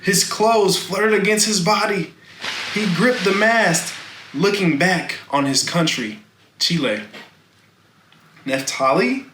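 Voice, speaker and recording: An echoey room with faint noise surrounds a man reading a children's novel aloud. He narrates slowly and dramatically, with deliberate phrasing and a bright voice. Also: sits high. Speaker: storybook reader.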